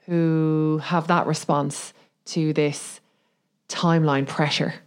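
A woman's voice: low-pitched, flat delivery, slow pace, darkish tone, clear, resonant tone. A woman co-hosting a podcast in casual conversation, informal and questioning in her way of talking. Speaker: podcast co-host.

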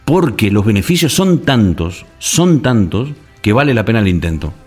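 A man from Argentina, a guest on a radio talk show, telling stories in an animated way with many restarts and fillers. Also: on the low side, moderate pace, slightly rough, faint music behind. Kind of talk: casual radio conversation.

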